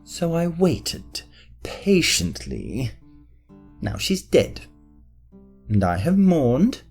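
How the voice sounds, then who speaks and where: A man's voice: on the high side, wildly swooping pitch, drawn-out words, darkish tone, husky, soft background music, full character voice. An actor playing a spoiled, arrogant nobleman who talks in a haughty, self-pitying way. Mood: petulant. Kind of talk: fan audio drama acting.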